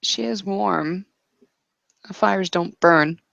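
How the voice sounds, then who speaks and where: A woman improvising narration and character dialogue in a tabletop roleplaying session, speaking deliberately in short, broken phrases. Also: lowish voice, vocal fry, a bit bright, no echo, highs cut off.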